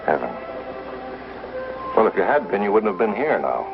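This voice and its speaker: Deep-voiced, courteous frontier townsman. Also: big pitch swings, moderate pace. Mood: amused, friendly. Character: friendly, supportive educated townsman, courteous. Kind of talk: 1950s television Western acting. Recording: muffled old TV soundtrack, loud music.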